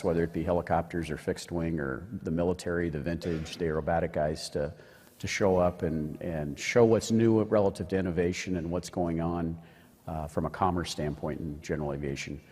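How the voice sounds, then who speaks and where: Low-voiced man speaking in an echoey hall. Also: a little mellow. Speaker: panelist, aviation association president. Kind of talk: informal presentation on a conference panel. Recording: echoey hall with long reverb.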